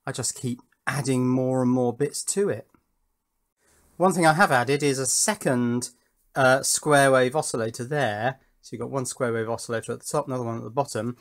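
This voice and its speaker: A man's voice: mid-range voice, fairly even intonation, normal speed. British man, informal hands-on electronics presenter. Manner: enthusiastic, thinking aloud.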